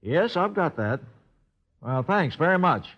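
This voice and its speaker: An actor playing a composed, clipped and matter-of-fact police superior in an old-time radio drama. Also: male voice, fairly high pitch, sing-song, unhurried. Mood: businesslike. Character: businesslike male police superior, fair and composed. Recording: dull, lo-fi old radio recording.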